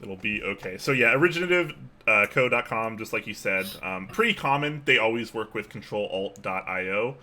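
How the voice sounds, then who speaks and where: A man co-hosting a casual keyboard podcast, relaxed and upbeat, with plenty of fillers. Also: lowish voice, normal speed. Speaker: podcast co-host. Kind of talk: casual chat about keyboards.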